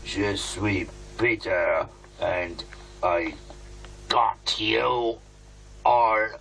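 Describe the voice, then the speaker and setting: A low, gravelly possessed man in a horror audio drama. He is dazed and menacing, dragging out broken phrases very slowly, with a faint hum behind him. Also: fairly bright tone, full character voice, poor audio. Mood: dazed menace. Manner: halting, broken, drawn-out speech.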